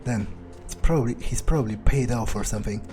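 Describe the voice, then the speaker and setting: A man's voice: mid-range voice, brisk, mellow, soft background music. A man playing a video game fully in character, talking in a dry, blunt, laconic way with some cursing. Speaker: gamer roleplaying his character. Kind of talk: in-character game roleplay commentary.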